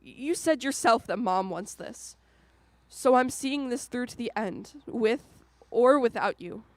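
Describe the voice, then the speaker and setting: A woman's voice: on the high side, animated, measured pace, dry recording. A young woman acting in a radio drama as a hurt, determined daughter confronting her father, emotional and pleading. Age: young adult.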